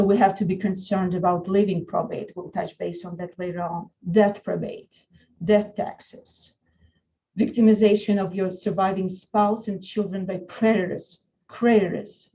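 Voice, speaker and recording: A woman from Russia with a Russian accent, an attorney giving an earnest, deliberate webinar presentation with frequent restarts. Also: medium pitch, mellow, lo-fi sound, slight background noise.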